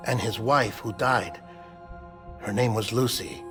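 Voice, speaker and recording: An actor playing a melancholy, earnest lab-grown man in a cinematic video game, speaking with deliberate, confessional phrasing. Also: fairly low pitch. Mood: solemn. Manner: deliberate, storytelling delivery. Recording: background music under dialogue.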